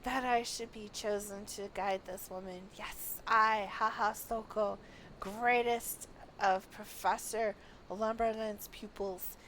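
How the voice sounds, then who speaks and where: A woman's voice: medium pitch, fairly even intonation, slow pace, fairly bright tone, slight background noise. A woman voicing a self-important scholar from a video game, declaiming flowery lines in a pompous, theatrical manner. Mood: pompous, grandiose. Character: flamboyant, self-important scholar guiding an adventurer. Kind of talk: reading game quest dialogue aloud.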